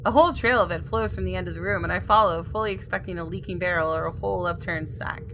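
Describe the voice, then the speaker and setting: A woman gaming host reading visual novel narration aloud in an expressive, storytelling way with casual asides. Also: fairly low pitch, fast, noisy background.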